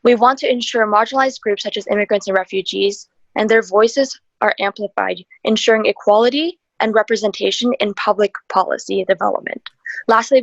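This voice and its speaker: A young woman raised in Hong Kong reads her presentation steadily and deliberately. Her video-call audio is dull and lo-fi, with a dry, close sound. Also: mid-range voice, no room sound. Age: young adult. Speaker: youth presenter. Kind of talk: reading a presentation script.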